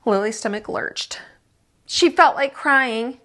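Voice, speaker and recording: A woman reading a picture book aloud as a teacher, animated and expressive, with dramatic emphasis and asides to young listeners. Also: on the high side, takes it slowly. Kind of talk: animated storytime narration for children.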